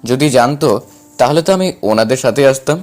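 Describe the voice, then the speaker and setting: A man's voice: medium pitch, a little fast, darkish tone, lightly acted. A man playing a flustered, cheeky but timid younger brother in an acted audio story. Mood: casual, polite.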